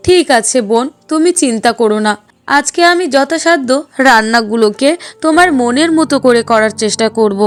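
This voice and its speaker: A woman's voice: a little high, normal speed, close to an everyday voice. A woman voicing a meek, polite young daughter-in-law in a cartoon, mild and placating. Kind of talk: Bengali cartoon dialogue.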